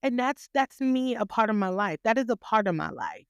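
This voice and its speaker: A woman's voice: on the high side, lively intonation, normal speed, clear, resonant tone, no echo, studio quality. A woman hosting a podcast, giving a motivational talk full of rhetorical questions and repeated phrases, with a voice she calls graceful yet stern. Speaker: podcast host.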